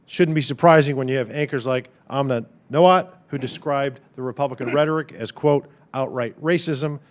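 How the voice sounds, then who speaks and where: Combative congressman grilling a witness. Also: medium pitch. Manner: pointed, combative, piles up figures. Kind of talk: questioning a witness at a hearing. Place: hearing room.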